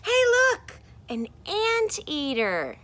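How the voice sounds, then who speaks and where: A woman voicing excitable, argumentative storybook characters in an exaggerated, emphatic, singsong way. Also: extremely high pitch, very slow, pitched above the performer's own voice, heavily acted.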